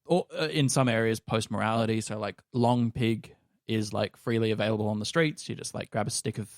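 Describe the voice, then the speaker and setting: An Australian man in casual discussion, speaking in a halting, thoughtful way. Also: fairly low pitch, steady tone, no room sound, studio quality. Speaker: actor and podcast cast member.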